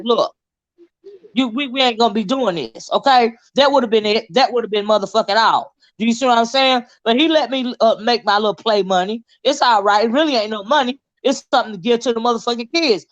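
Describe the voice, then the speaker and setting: A woman's voice: fairly high pitch, lo-fi sound. Blunt, cursing woman livestreamer. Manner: profane, blunt and combative, emphatic cursing. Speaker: livestream host. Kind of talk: heated livestream commentary.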